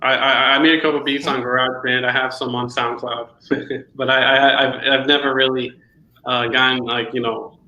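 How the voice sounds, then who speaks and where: A man whose first language is Spanish, chatting casually in a relaxed, hesitant and friendly way. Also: mid-range voice, roomy sound, poor audio. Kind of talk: casual podcast chat.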